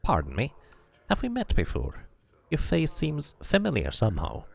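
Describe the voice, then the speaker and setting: A man's voice: a little high, wildly swooping pitch, moderate pace, gravelly, pitched above the performer's own voice, exaggerated voice. A man voicing a tiny, wizened, fidgety man in his nineties, an evasive official with fussy, old-fashioned formal speech for a game. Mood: courteous, eager.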